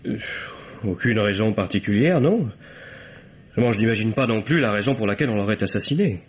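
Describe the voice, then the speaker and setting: A man's voice: lowish voice, animated, fairly quick, lightly acted. A man acting a reserved, bitter son under questioning in an old radio mystery, answering formally with hesitations and curt replies. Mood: defensive, bitter. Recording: dull, noisy old radio drama recording.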